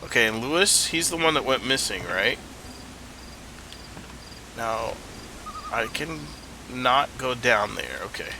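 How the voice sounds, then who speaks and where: A man's voice: mid-range voice, big pitch swings, slow, long pauses, background noise. A man casually commenting while playing a video game, rambling and thinking aloud, with a stuffy nose. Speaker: gameplay streamer. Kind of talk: casual let's-play commentary.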